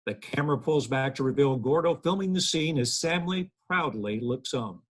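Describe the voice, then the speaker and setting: A man reading the stage directions aloud at a table read in a plain, steady way. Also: medium pitch, normal speed, a little hiss or hum.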